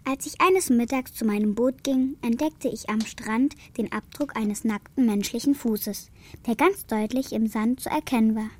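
A woman's voice: fairly high pitch, moderate pace, darkish tone, lightly acted. An actress playing a brave, devoted young girl in a radio play, with an earnest, youthful delivery. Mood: reading aloud.

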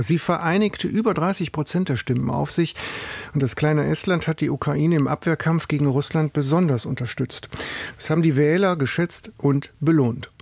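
A male radio presenter reads the program links with a gravelly, husky voice pitched a little high. The sound is close and dry, with no room echo. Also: a little mellow. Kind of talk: scripted radio news presenting.

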